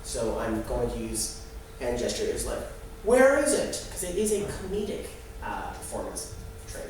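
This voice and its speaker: A young adult man giving a halting, explanatory student presentation live in a room. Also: on the low side, big pitch swings, a little mellow. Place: echoey indoor room. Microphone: distant microphone. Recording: very echoey room, distant mic, noisy.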